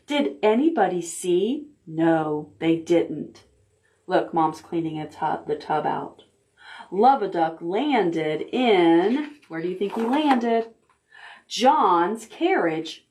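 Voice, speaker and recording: A woman librarian reading picture books aloud to preschoolers, stopping to ask the children questions. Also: lowish voice. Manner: gentle, deliberate storytime delivery.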